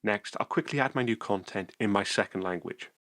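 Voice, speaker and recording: A man calmly narrating a software tutorial screencast, explaining each step methodically. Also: fairly low pitch, a little fast, no echo.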